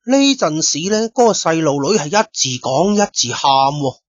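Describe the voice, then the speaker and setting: A man spins a first-person detective tale, talking directly to his listeners with chatty, lively asides. His voice is a little husky and sits at a middle pitch. The recording is close, dry and dull, with a lo-fi edge. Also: normal speed, no echo. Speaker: storyteller narrating as detective. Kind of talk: first-person Cantonese storytelling.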